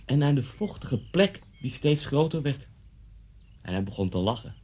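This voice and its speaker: A menacing policeman in an old radio thriller. His husky voice swings widely as he insinuates and accuses with deliberate, dramatic storytelling. The recording is dull and muffled. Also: mid-range voice, very animated, warm tone. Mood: bitter, intense. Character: local policeman, former pupil, menacingly recalling an old humiliation. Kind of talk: 1970s Dutch radio thriller acting.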